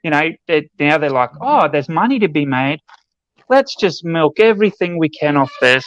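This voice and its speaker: A man's voice: fairly high pitch, animated, moderate pace, fairly bright tone, no room sound, lo-fi sound. A man on a podcast panel giving his opinions in casual chat.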